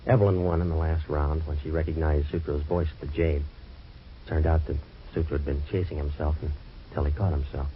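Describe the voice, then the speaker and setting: An actor playing a hard-boiled waterfront detective in a 1940s radio drama, with dry, clipped wisecracks. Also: male voice, very deep voice. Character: wisecracking tough waterfront private eye, man. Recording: dull old radio broadcast with faint hiss.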